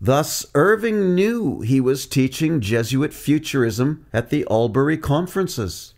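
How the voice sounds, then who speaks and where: A man delivering a scripted teaching narration as a preacher, measured and deliberate, reading out quotes from his sources. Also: medium pitch, lively intonation, darkish tone.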